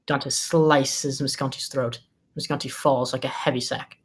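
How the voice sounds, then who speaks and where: A male narrator reads stage directions and scene headings from a script. His pitch sits in the middle, and the delivery is scripted storytelling. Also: level tone, measured pace.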